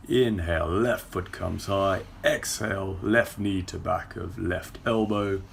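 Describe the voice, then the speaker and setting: Casting: young male movement coach, deep gravelly voice, steady breath cues, faint hiss behind. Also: a little slow. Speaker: yoga and movement instructor. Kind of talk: guided workout instruction. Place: indoor car park with brick wall. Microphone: far camera.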